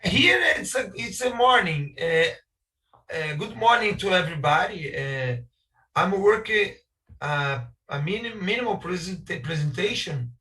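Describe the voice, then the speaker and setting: A man with a Brazilian Portuguese accent works through slow, broken English, often stopping to hunt for the right word. His voice is bright and slightly high. He sounds a bit distant from his video-call mic. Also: expressive. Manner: searching for English words, many hesitations and restarts. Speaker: architect guest speaker. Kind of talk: halting webinar talk in a second language.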